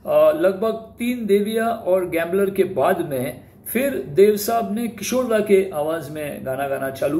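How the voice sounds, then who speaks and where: A high-voiced man presents as host in an informal, explanatory way. The tone is respectful, with English words dropped in. The sound is roomy and echoing, with faint noise behind. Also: mellow. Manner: speaks Hindi with English words mixed in. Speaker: host presenting film music history. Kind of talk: informal explanatory talk to viewers.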